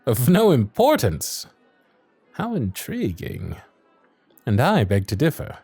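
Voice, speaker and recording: An actor playing a wry, honourable young Victorian lord, charming and a little vain, speaking formal, courtly in a romantic audio roleplay. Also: male voice, medium pitch, huge pitch swings, slow, gravelly, a little mellow, breathy edge, dry recording, soft background music, heavily acted. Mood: intrigued. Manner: formal, courtly, old-fashioned diction.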